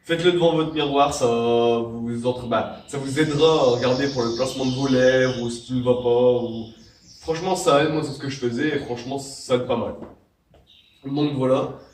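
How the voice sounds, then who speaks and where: A young man with a cold talks through a casual how-to tutorial, rambling and restarting as he goes. His voice sits at an ordinary pitch for a man. It sounds roomy and echoey, picked up from some distance away from the mic. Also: steady tone, moderate pace, darkish tone, a little hiss or hum. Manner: rambling, many fillers and restarts. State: has a cold. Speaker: vape tricks tutorial host.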